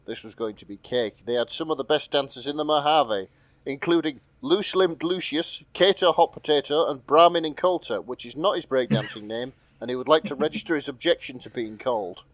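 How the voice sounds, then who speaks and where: A man's voice: fairly high pitch, a little fast, dry recording. A man co-hosting a podcast, chatting casually and reading fan fiction aloud in a jokey, sweary way. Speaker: podcast co-host.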